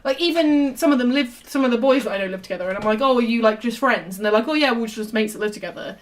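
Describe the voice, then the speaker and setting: A woman chatting as a podcast guest, telling stories with lively acted-out dialogue. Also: a little high, quick pace. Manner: animated storyteller who acts out quoted dialogue. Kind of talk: casual chat.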